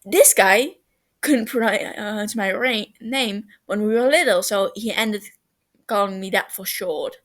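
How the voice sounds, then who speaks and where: A woman voicing a brash, teasing young man in a story, loud, sarcastic and emphatic, with a defensive streak. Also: mid-range voice, slow, close to an everyday voice.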